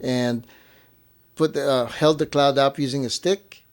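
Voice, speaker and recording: A man's voice: mid-range voice, fairly even intonation, unhurried. Man, mid-range voice, informal co-host talking through details conversationally, restating phrases to clarify. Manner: informal, repeats and rephrases to clarify. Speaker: show co-host. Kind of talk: casual conversational explanation.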